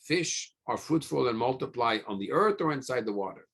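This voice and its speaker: A man preaching as a rabbi, emphatic, with frequent pauses, repeated phrases and rhetorical questions. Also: medium pitch, expressive, fairly bright tone, husky. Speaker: rabbi giving a religious lecture. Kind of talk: sermon, teaching through scripture stories.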